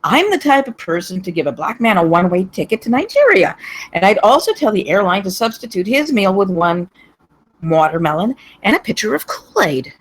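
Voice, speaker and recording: A woman's voice: medium pitch, very animated, fairly quick. A woman voicing a smug, oblivious, self-righteous defendant in a comedy script table read.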